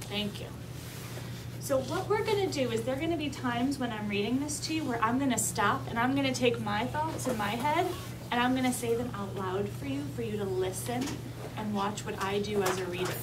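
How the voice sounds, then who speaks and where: A woman's voice: a little high, brisk. A woman teaching young children through an interactive read-aloud, coaxing them with simple instructions and questions. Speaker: teacher reading aloud to kindergarteners. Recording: distant mic, noisy room.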